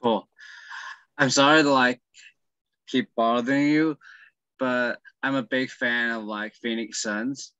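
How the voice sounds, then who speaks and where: A man's voice: mid-range voice, steady tone, slow, long pauses, bright, forceful tone, clean tone, no room sound. A young man from Taiwan, a Mandarin speaker, hosting an interview in English, hesitant and apologetic with lots of "like". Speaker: interview host. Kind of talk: casual interview over a call. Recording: dull lo-fi call audio.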